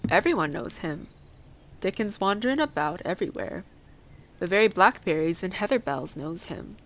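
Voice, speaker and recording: A woman's voice: fairly low pitch, lively intonation, normal speed, fairly bright tone. A woman voicing a surly, plain-spoken old gardener in a storybook reading. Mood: grudgingly warm. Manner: blunt, gruff dialect speech. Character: surly, blunt old Yorkshire gardener man.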